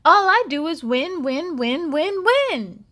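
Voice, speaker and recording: A woman's voice: very high-pitched, very animated, takes it slowly, fairly bright tone, pitched above the performer's own voice, heavily acted. A woman voicing a proud, conceited young male cheetah in a children's storybook, speaking boastfully and emphatically. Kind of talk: children's storybook read-aloud.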